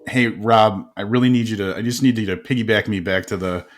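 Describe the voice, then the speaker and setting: A man hosting an interview podcast, telling quick stories in a casual, animated way with frequent laughs and restarts. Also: on the low side. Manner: animated storyteller, laughs often, many restarts. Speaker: podcast host.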